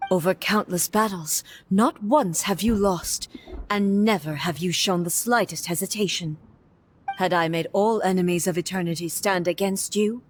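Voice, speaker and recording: A woman's voice: medium pitch, a little hiss or hum, close to an everyday voice. A woman voicing a stern regal warrior ruler. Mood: solemn. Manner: measured, formal, solemn delivery. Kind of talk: video game cutscene voice acting. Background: faint game music.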